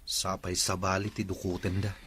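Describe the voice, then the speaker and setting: A man's voice: deep voice, completely flat delivery, moderate pace, a bit bright, a little hiss or hum. A man playing a terse, brooding former playboy in an audio drama. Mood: dismissive. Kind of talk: Ilocano audio drama dialogue.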